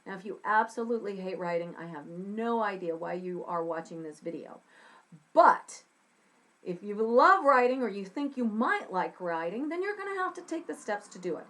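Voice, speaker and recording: A middle-aged woman from Texas teaching scriptwriting in a chatty, animated way, talking to a webcam in a small room. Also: medium pitch, normal speed. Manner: chatty, animated, gesturing with her hands. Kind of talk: casual talk to camera. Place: small room with a dark curtain behind.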